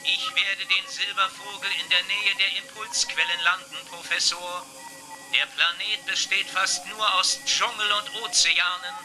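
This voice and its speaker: Male robot ship computer, children's sci-fi radio play. High pitch, calm, exact, formal, music underneath. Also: full character voice, low-quality recording. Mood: matter-of-fact. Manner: measured, factual, formal. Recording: music behind the voice.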